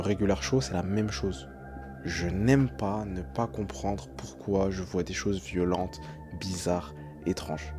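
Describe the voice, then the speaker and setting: A man's voice: lowish voice, mellow. A man giving casual reaction commentary as a streamer, hesitant and often repeating his words. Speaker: streamer reacting to horror videos.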